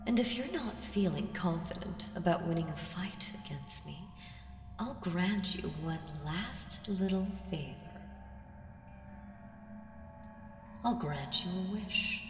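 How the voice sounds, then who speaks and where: A woman playing an ancient evil entity in an ASMR audio roleplay, seductive, menacing and playfully condescending, with teasing, drawn-out murmurs that slip into whispers. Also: on the low side, expressive. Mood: teasing, tempting. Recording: echoing reverb with music underneath. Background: music.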